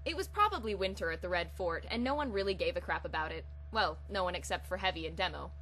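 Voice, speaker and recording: A woman's voice: fairly low pitch, expressive, fast, a bit bright. A woman hosting a reading of comic fan fiction, moving between casual talk and dramatic storytelling. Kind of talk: casual intro, then dramatic comic storytelling read aloud. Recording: audible background hum and hiss.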